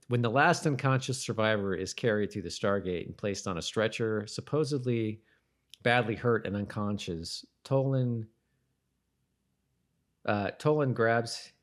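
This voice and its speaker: Male podcast co-host, low voice, relaxed conversational delivery, agreeable, switches between chatting and reading notes aloud. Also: unhurried. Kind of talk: casual chat, reading trivia and goofs aloud.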